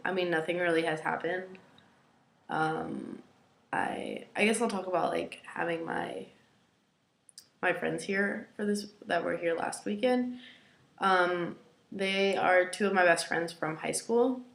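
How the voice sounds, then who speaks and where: Raspy, gravelly young woman in echoey room. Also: lowish voice. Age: young adult. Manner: hesitant, rambling, many fillers, drops to a near whisper at times. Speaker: vlogger. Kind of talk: casual personal video diary. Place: at home, indoors.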